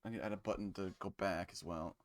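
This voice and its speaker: A man's voice: sits low, fairly even intonation, fast, dry recording. A man streaming while building a game, talking laid-back and muttering his steps to himself. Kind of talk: casual chat while working. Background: keyboard typing and clicks.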